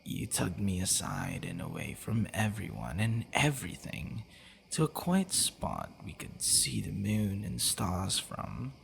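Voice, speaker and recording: A man playing a possessive, teasing, devoted vampire boyfriend in an intimate ASMR roleplay, speaking in a hushed, breathy way with hesitant pauses. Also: lowish voice, wildly swooping pitch, a little hiss or hum, exaggerated voice. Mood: tender, flustered.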